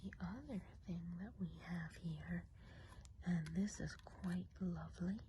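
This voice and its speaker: A woman playing a kindly, chatty witch of the woods in a whispered ASMR role play, speaking gently and without hurry in a soft, breathy whisper. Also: fairly low pitch, noisy background, lightly acted. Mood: admiring. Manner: gentle, unhurried, chatty, hesitant asides.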